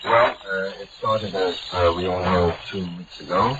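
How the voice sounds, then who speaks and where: A man's voice: sits low, steady tone, measured pace. A man, a news commentator, giving an unscripted recap in a casual way with many fillers. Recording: lo-fi, noisy shortwave.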